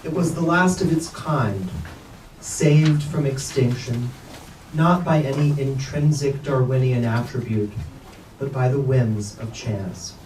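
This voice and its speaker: A man reading literary prose aloud at a staged book reading, with deliberate, measured delivery. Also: mid-range voice, darkish tone. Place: bookstore event space. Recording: echoey room, distant mic, background noise.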